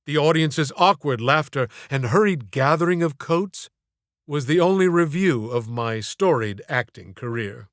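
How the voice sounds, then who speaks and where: A man's voice: on the high side, expressive, measured pace, no echo, clean studio sound. A man narrating a comic story as an audiobook, in a theatrical, wry, mock-formal way.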